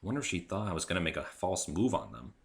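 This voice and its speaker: A man's voice: low-pitched, very animated, quick pace, creaky. A man narrating a first-person story in a dramatic reading style. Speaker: author reading own story.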